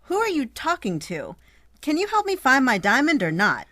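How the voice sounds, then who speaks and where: A woman's voice: high voice, wildly swooping pitch, normal speed, bright, forceful tone, soft background music, heavily acted. An actress playing an impatient, exasperated client, a well-off young woman hiring a detective.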